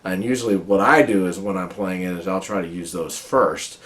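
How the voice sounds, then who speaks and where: A man reviewing a board game and explaining its rules in a casual, chatty way, his voice a little raspy from a cold. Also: low voice, normal speed. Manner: relaxed, chatty explaining with many fillers. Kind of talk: casual how-to-play review.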